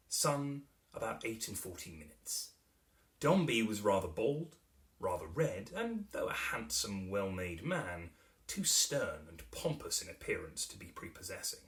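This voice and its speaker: A man narrating a classic novel as a dramatic reading, theatrical and expressive, with carefully shaped phrasing. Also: low voice, distant mic. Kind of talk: dramatic literary audiobook narration.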